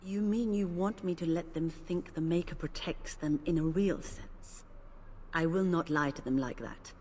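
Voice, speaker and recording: A woman's voice: fairly low pitch, a little mellow, slight background noise, natural voice. A woman voicing a solemn, principled priestess. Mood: reluctant. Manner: solemn, measured speech. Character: devout older female priestess, gentle but principled. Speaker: game character. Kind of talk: video game voice acting. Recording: game audio with faint ambience.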